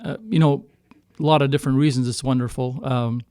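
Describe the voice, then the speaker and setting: A middle-aged man from Canada speaks in a smooth, clear voice, captured close and dry. He tells his story casually, in a halting way, doubling back to reword himself as he goes. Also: mid-range voice, fairly even intonation, darkish tone, no room sound. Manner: halting, circling back to rephrase. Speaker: singer-songwriter guest. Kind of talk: casual storytelling in a podcast interview.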